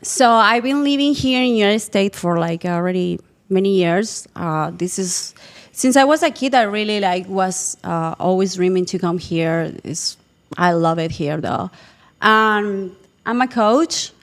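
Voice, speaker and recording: A woman from Argentina with an Argentine Spanish accent, a fitness coach guest speaking polite, earnest, slightly halting English. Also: mid-range voice, animated. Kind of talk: casual podcast interview.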